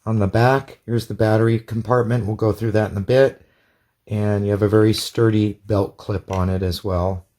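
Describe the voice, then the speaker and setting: A man's voice: lowish voice, little pitch movement, normal speed, warm tone. A man giving a casual hands-on product demonstration in a plain, methodical, step-by-step way. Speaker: product reviewer.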